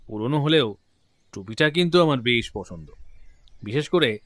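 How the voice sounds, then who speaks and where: Guy acting friendly chatty middle-aged gent, open-hearted, mid pitch, close dry recording. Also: expressive, normal speed, fairly bright tone, no echo, natural voice. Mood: impressed, genial. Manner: genial, talkative, open-hearted. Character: affable, chatty gentleman client, proud father. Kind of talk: dramatized detective audio story.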